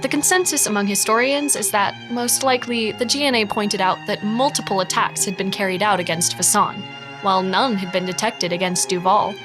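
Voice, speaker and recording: An actress voices an analytical woman historian in a sci-fi audio drama. She weighs things in a measured documentary manner, with an average-pitched voice and clear music underneath. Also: lightly acted, rough recording. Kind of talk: scripted documentary-style audio drama narration.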